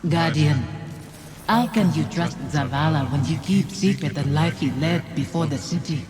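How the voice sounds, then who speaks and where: A woman playing the reproachful apparition of a commander's lost loved one in a video game, with measured, accusing, poetic delivery. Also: low voice, background noise, natural voice. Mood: taunting, wistful. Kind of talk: dramatic video game acting. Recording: dramatic music behind the voice. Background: game music.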